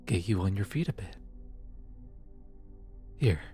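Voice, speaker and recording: A gentle, reassuring demon played by a voice actor speaks at a very unhurried pace in an ASMR comfort roleplay. The delivery is tender and a little hesitant, in a mid-low register, with music playing underneath. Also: expressive. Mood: warm, lightly amused. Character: gentle, soft-spoken demon receptionist of hell, reassuring guide.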